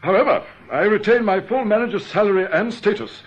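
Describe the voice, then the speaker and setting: High-voiced, pompous bank manager. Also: male voice, brisk. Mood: stiffly proud. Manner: formal, pompous, precise. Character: prickly, status-conscious male bank manager, stiff and proud. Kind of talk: British radio drama acting. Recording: dull, band-limited radio recording.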